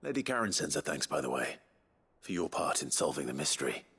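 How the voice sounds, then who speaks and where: An actor playing a brooding young warrior in a game cutscene, gentle with his hound, with a British accent. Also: a bass voice, very animated, fairly quick, slightly rough, full character voice. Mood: calm, earnest. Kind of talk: video game cutscene acting. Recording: background music under the dialogue.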